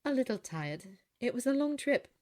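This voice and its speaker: A woman's voice: a little high, very animated, moderate pace, a little mellow, voice pushed up. A woman doing a terse, guarded young woman.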